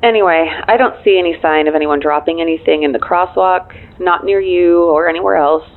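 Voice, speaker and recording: A dry deadpan office assistant lady on a phone call, kinda low voice, audio drama, unflappable. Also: fairly quick. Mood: dry, teasing. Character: dryly wry, efficient female executive assistant, loyal and unflappable. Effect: phone filter. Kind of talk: naturalistic audio drama acting. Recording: thin narrow phone-line sound.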